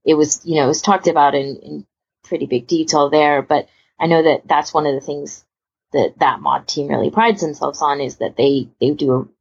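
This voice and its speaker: Female podcast co-host, low and husky, conversational and agreeable, slightly dull lo-fi audio. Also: steady tone, moderate pace. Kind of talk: casual discussion, reading out listener comments.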